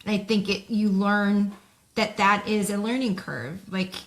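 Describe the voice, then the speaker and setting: A woman's voice: medium pitch, level tone, a little slow. Reflective woman podcast co-host. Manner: reflective, thinking aloud. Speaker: co-host, pastor. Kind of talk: casual podcast chat.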